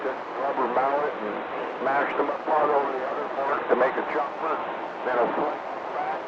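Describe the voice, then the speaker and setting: A man chats over CB radio in a loose, informal, blokey style. His voice sits in the middle of the male range. The transmission is dull and lo-fi, buried in very loud noise. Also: mid-range voice, fairly even intonation, moderate pace. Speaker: CB radio operator. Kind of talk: casual chat over CB radio.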